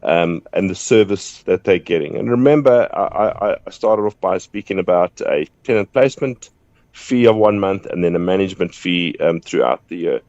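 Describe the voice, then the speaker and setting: A man with a croaky voice from the flu, explaining property costs in a measured way as a radio guest on the phone. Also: sits low, lively intonation, a little mellow, dry recording. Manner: measured, explanatory, repeats words when starting a point. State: hoarse from flu. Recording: muffled phone line.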